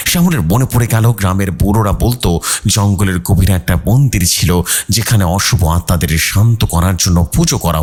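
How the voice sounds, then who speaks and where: A man narrates a horror story in a theatrical, suspenseful manner, with a husky voice. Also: deep voice, darkish tone. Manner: theatrical, suspenseful, repeats words for emphasis. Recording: background music under the voice.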